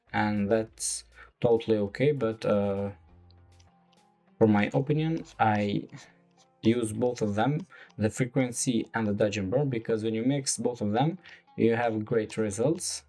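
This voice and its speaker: A man with a lowish voice, speaking English as a second language, walking through a retouching tutorial. He talks in a slow, halting way, full of fillers. Faint music plays softly underneath. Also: steady tone. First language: not English. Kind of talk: screen-recorded software tutorial.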